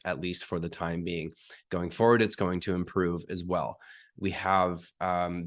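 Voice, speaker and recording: A man with a hoarse, raspy voice from being sick, explaining technical detail step by step as an interview guest and often clearing his throat. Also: deep voice. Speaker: uranium market analyst guest.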